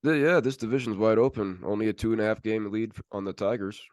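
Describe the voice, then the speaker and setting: A man's voice: lowish voice, quick pace, a little mellow, no room sound. A man hosting a sports podcast, giving casual commentary as he runs through standings.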